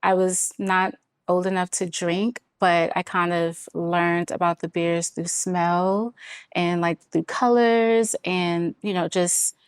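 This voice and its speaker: A woman's voice: on the low side, steady tone, slow, clean tone, dry recording, studio quality. A woman telling her story as an interview guest in an informal way, often saying "like" and "you know". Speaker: podcast guest, brewery founder.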